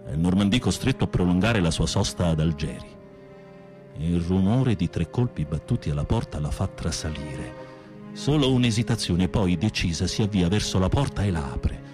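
A man playing a campy, low-voiced theatrical inmate. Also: lightly acted. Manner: florid, campy, teasing storytelling. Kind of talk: radio play acting.

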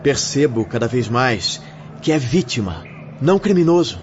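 An actor playing a gentle, compassionate medium in a radio drama, soothing and earnest. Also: male voice, mid-range voice, very animated, normal speed, some chatter behind, close to an everyday voice. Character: gentle, compassionate male medium consoling a prisoner. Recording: muffled recording with background noise.

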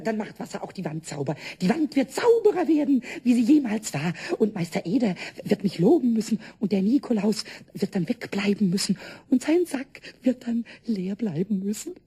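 A man voicing a small, cheeky, nervous male kobold in a radio play, stammering and pleading in a squeaky voice. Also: mellow, breathy edge, full character voice. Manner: stammering, pleading, breaking off and repeating words. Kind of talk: German radio play acting.

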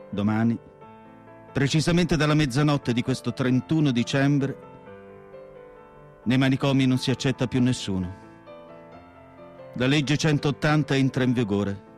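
An actor playing an elderly, melancholy asylum doctor and writer in a measured, solemn radio theatre monologue with pauses between short phrases. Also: male voice, medium pitch, a little mellow, natural voice. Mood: solemn, reflective. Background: instrumental music.

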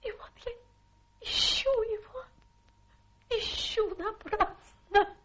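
A woman's voice: extremely high pitch, takes it slowly, a bit bright, exaggerated voice. An actress playing a self-pitying adventuress who poses as a tragic fallen lady in a radio play, melodramatic, plaintive and coquettish. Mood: tearful, desperate. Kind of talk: theatrical radio play acting.

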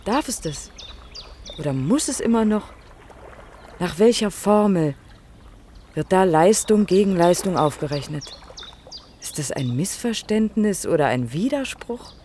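A woman's voice: on the low side, animated, dark tone, slight background noise. An actress playing a cheeky, outspoken young woman in her early twenties, teasing and ironic, in a naturalistic German radio drama. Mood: spirited, argumentative. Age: young adult. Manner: teasing, ironic, quick-witted.

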